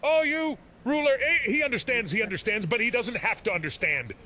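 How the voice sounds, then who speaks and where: A man's voice: very high voice, expressive, fairly quick, sharp tone, exaggerated voice. A man voicing a frantic, paranoid old man in hiding who rambles in fantasy game dialogue. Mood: frantic, urgent. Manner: rambling, agitated.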